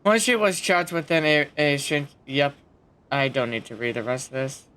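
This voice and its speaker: A woman's voice: sits low, normal speed, fairly bright tone. A woman game streamer giving casual, blunt, sarcastic asides while reacting to a video game. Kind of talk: casual gameplay commentary.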